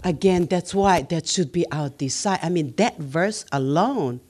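A woman's voice: lowish voice, lively intonation, measured pace, darkish tone. A woman, the pastor's wife, teaching beside him in an earnest, exhorting way. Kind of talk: teaching sermon.

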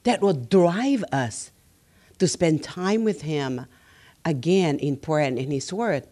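Slow earnest woman co-preaching. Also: deep voice, lively intonation, warm tone. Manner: earnest, affirming, exhorting. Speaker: co-pastor, pastor's wife. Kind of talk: teaching sermon.

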